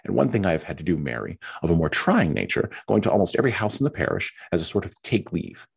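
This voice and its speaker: A deep-voiced man reading a patient sensible young woman. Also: fast, creaky, breathy edge, full character voice. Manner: calm, soothing. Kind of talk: reading a novel aloud.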